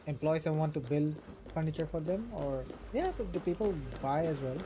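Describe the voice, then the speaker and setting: A man's voice: fairly high pitch, normal speed. A man chatting casually in a conversational, informal way. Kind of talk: casual chat.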